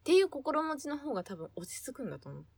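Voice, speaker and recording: A woman's voice: high-pitched, sing-song, quick pace, gravelly, breathy edge, no echo. A woman co-hosting a casual podcast chat among friends, talking in a colloquial, emphatic way with slang and playful exaggeration. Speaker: podcast co-host.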